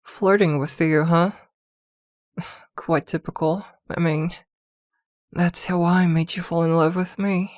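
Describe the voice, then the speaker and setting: A woman's voice: on the low side, fairly even intonation, slow pace, pauses often, darkish tone, dry recording, studio quality. A woman playing a possessive, protective witch girlfriend in an ASMR roleplay, scolding and fussing tenderly by turns. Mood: jealous, possessive. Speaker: voice actor in an audio roleplay.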